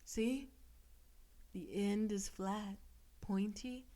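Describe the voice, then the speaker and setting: Slow, possessive demon girlfriend, voiced by a woman. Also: medium pitch, steady tone. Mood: teasing, doting. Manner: slow, teasing, softly commanding, lapsing into breathy whispers. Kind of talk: intimate audio roleplay addressed to the listener.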